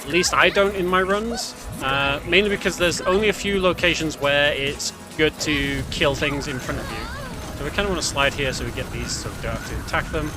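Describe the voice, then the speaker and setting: A man's voice: a little high, brisk. A man giving casual, slightly hesitant gameplay commentary as a speedrunner, often correcting himself as he goes. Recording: game music behind voice. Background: game music and sounds.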